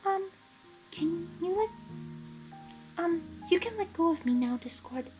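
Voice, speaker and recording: A woman voicing a shy, gentle female pegasus pony with a soft, hesitant way of speaking. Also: very high voice, expressive, unhurried, music in the background, pitched above the performer's own voice. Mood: timid, nervous.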